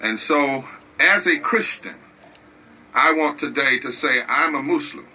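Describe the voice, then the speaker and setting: A man's voice: medium pitch, unhurried, sharp tone, a little hiss or hum, poor audio. A man leading an outdoor protest rally, speaking with a rousing, preacher-like cadence to the crowd. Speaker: rally leader.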